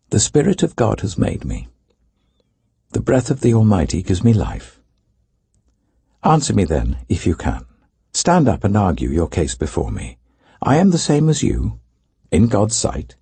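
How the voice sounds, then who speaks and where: Older man voicing husky, indignant young orator. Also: fairly low pitch, mellow, dry recording, heavily acted. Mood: urgent, commanding. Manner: emphatic, measured, oratorical. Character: earnest, indignant young man rebuking his elders.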